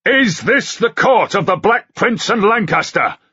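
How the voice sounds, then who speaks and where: A man voicing a fervent young knight who shouts loud challenges. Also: mid-range voice, expressive, measured pace, slightly rough, no room sound, lo-fi sound, voice pushed up. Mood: defiant.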